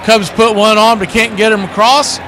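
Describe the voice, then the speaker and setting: A man doing live baseball play-by-play as the announcer, conversational, with drawn-out calls and quick asides. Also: high-pitched, level tone, a crowd in the background, noisy background.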